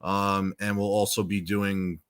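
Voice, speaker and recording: A man with a very deep, low-set voice chats as a podcast guest. He rambles comfortably, restarting his sentences and padding them with fillers. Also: flat delivery, measured pace, fairly bright tone, smooth. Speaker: podcast guest, rock singer. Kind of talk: casual chat.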